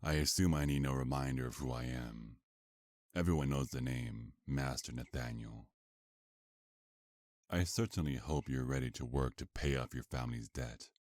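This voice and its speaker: A man playing a sinister, condescending vampire mafia boss in an ASMR roleplay, speaking in a formal, menacing, drawn-out way. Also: a bass voice, a little mellow, dry recording, studio quality. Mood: smug menace.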